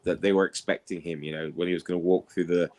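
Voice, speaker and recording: Casual male talker, low register, loose anecdotal delivery for an informal book discussion. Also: little pitch movement, quick pace, a little mellow. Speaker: participant in an online book discussion. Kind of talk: casual chat, anecdotal storytelling.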